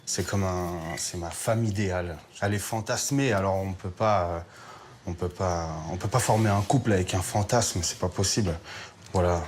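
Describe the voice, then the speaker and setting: Low-voiced hesitant French man. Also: moderate pace, a little mellow, a little hiss or hum. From France. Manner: hesitant, many fillers and restarts, thoughtful. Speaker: interviewee. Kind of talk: reflective interview answers.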